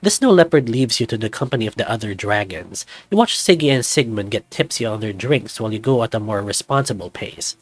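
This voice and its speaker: A man's voice: fairly low pitch, animated, brisk, hardly any pauses. A man reading scripted second-person story narration as the narrator of a visual novel.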